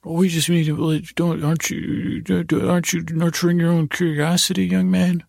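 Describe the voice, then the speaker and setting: A pesky little voice inside a sleeper's head , played by a man, chatters eagerly and won't let go. It is creaky and pitched a bit up, stumbling over words as it insists, in a bedtime storytelling style. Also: flat delivery, moderate pace, a little mellow, no room sound.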